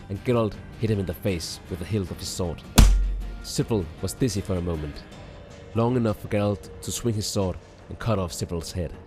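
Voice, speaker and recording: A man reading scripted fantasy story narration, with music behind him. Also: fairly low pitch. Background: background music.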